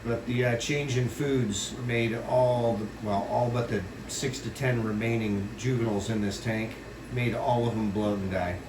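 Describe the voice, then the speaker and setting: A man with a stuffy nose giving a rambling, casual tour of his home fish room, pausing often with fillers. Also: mid-range voice, fairly even intonation. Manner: rambling, with many pauses and fillers. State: congested with a runny nose. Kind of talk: casual walkthrough vlog. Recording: echoey room with loud AC hum, mic at a distance.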